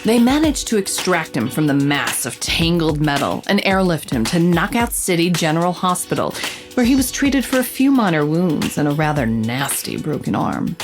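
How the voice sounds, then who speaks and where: A female reporter in an audio mystery. Her voice sits fairly low and moves with lively inflection, dramatic and probing. Music plays softly beneath her. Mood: recounting. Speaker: reporter and narrator. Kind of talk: scripted noir-like dramatic narration.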